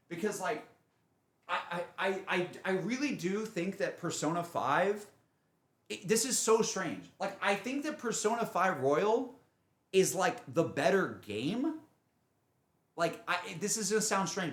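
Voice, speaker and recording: Guy streamer rambling earnestly to chat, kinda high voice, really animated, repeats himself a lot. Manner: rambling, repetitive, earnest and emphatic. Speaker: game streamer. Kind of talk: casual livestream chat, emotional game reflection.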